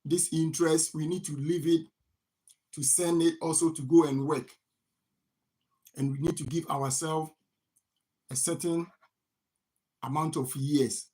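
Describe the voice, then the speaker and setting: A man with a somewhat high voice delivers a motivational money talk. He repeats phrases over and over and keeps asking the listener "what?" before giving the answer himself. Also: fairly even intonation, unhurried, pauses often, dark tone, rough recording. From Ghana. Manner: repetitive, poses rhetorical "what?" questions and answers them. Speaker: farming channel host. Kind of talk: motivational finance talk to camera.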